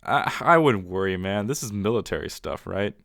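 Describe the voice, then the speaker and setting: Creaky-voiced casual colleague. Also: male voice, lowish voice, animated, moderate pace, fairly bright tone, no echo, clean studio sound. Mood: easygoing. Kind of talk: naturalistic meeting dialogue.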